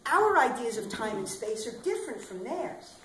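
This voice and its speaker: A woman acting as an eccentric, loud-voiced bag lady on a small theater stage, talking brashly and rambling straight at her listeners. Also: a little high, big pitch swings, normal speed. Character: eccentric loud middle-aged bag lady waiting for aliens. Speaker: stage actor. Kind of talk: theatrical comic monologue. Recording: echoey hall, faint ambient noise.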